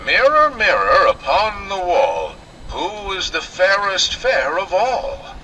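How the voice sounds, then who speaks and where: Wicked storybook queen, played by a man: envious, gloating, theatrical, high-pitched, very slow. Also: huge pitch swings, background noise, full character voice. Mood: vain, demanding.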